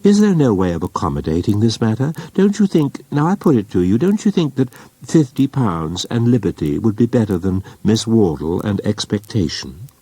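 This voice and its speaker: A man's voice: on the low side, very animated, moderate pace, dark tone, slightly rough, dry recording, pitched above the performer's own voice. A man voicing a fussy little lawyer in an audiobook, coaxing and repeating himself hesitantly. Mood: anxious coaxing. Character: fussy little male lawyer, anxious to settle matters.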